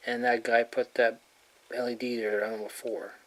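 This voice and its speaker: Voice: mid-range voice, little pitch movement, normal speed. Rambling hobbyist in a noisy home recording. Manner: rambling, informal, trailing off mid-thought. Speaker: hobbyist showing a radio repair. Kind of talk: casual hands-on video narration. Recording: clearly noisy amateur handheld recording.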